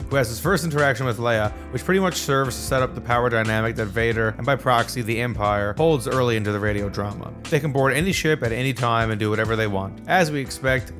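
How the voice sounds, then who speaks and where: A man's voice: medium pitch, fairly bright tone. A man narrating a scripted video essay. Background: background music.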